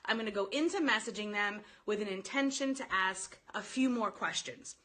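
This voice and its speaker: A woman giving informal sales coaching on a live stream, talking directly to her viewers in an animated, chatty way. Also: medium pitch, normal speed. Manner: animated, informal, addresses listeners directly, quotes sample phrases. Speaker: sales coach hosting a live online training.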